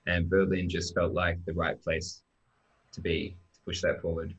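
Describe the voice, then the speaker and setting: A dull, lo-fi video call carries a very low male voice with an Australian accent. He speaks informally as a guest, presenting like a developer introducing a team. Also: monotone, moderate pace, a bit bright. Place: remote online live stream.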